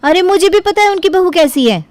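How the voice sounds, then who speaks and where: A woman's voice: very high voice, animated, fairly quick, dry recording, pitched above the performer's own voice. A woman voicing a brash, self-righteous young daughter-in-law in a cartoon, arguing back defensively. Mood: know-it-all. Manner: butts in, argues back, defensive. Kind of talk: cartoon voice acting.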